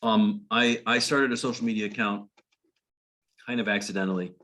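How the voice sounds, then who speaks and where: A middle-aged man speaking as a guest at a club meeting, telling anecdotes in a halting way with frequent restarts and chuckles. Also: on the low side, steady tone, pushed and bright. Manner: halting, full of restarts and laughs. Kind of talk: casual talk.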